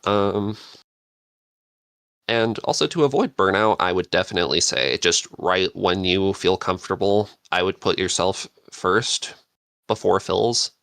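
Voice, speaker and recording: A man giving casual advice as a podcast panelist, halting and thinking aloud as he goes. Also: sits low, gravelly, a bit bright, no echo, clean studio sound. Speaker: podcast panelist, script writer.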